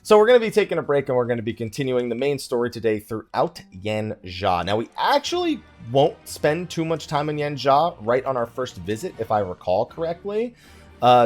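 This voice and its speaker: A man hosting a let's play, talking in a casual, rambling, self-deprecating way. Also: medium pitch. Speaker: gaming video host. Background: game music.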